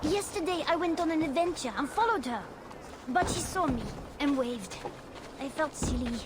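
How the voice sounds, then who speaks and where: A performer playing an innocent, eager young boy prince in a video game, with an earnest, childlike way of talking and loud noise behind. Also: sits high, expressive, moderate pace. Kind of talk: video game cutscene acting.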